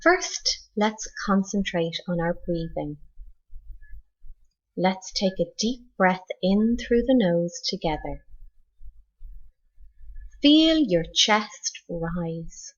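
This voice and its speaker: A woman leading a scripted guided meditation like a teacher, in a measured, instructional manner with deliberate pauses. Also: fairly low pitch, highs cut off.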